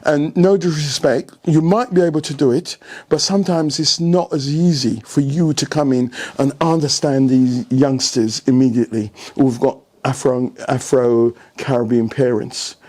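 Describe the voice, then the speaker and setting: A man's voice: medium pitch, moderate pace, hardly any pauses. An older man, telling stories in an interview as a senior coach, often posing rhetorical questions and checking that the listener follows. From England. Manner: animated anecdotes with rhetorical questions and repeated checks for agreement. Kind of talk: storytelling in an interview.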